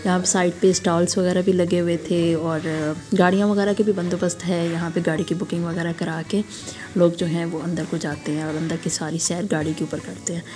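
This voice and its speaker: A hoarse woman from Pakistan with a sore throat, narrating a casual, admiring walking tour for her vlog. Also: lowish voice, little pitch movement, a little fast, music in the background. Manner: chatty, admiring, guiding viewers along. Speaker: travel vlogger.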